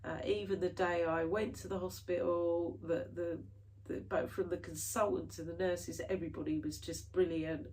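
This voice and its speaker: A woman's voice: lowish voice, level tone, moderate pace, a little mellow, recorded from a distance, slight background noise, rough recording. A British woman chatting casually to her viewers in a rambling way, full of fillers and restarts, her nose a bit stuffy from hay fever. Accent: British English. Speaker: cross-stitch vlogger. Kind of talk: casual chat to camera.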